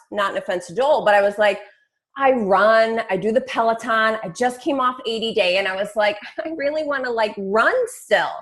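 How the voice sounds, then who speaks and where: A woman hosting a team call as a fitness coach, talking casually and informally with plenty of slang. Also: medium pitch. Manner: chatty, informal, peppered with slang and swearing. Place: online group call.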